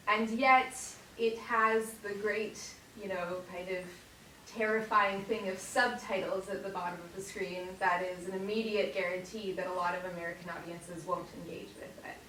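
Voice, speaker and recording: Woman in the crowd asking a rambly question, bright tone, echoey and distant. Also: medium pitch, normal speed. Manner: hesitant, many fillers. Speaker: audience member asking a question. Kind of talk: audience question. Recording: distant voice in an echoey hall with hiss.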